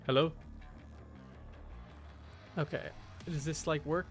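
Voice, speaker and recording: A man chatting casually on a game livestream, talking to himself in a halting, muttering way. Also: on the high side, fairly even intonation, faint music behind. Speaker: streamer.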